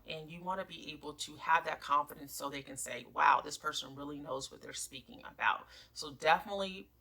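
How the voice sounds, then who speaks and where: A woman's voice: a bass voice, vocal fry, bright, forceful tone, low-quality recording. A woman presenting instructional career tips as a human resources coach, stressing headings and key phrases emphatically. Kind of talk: instructional advice talk, numbered tips.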